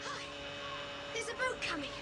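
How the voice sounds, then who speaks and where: Very high-pitched bossy English child. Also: female voice, animated, normal speed, in a large hall. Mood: urgent. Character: eager, bossy British child on an island adventure. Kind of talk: 1980s children's audio drama acting. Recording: faintly noisy old cassette tape.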